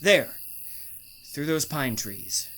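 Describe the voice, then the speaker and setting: A man's voice: on the high side, expressive, drawn-out words, fairly bright tone, a little hiss or hum, heavily acted. A man playing a domineering, secretive bridegroom in old-fashioned radio melodrama, with a terse, insistent delivery. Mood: casual, clipped. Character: domineering, secretive bridegroom from Maine, curtly menacing.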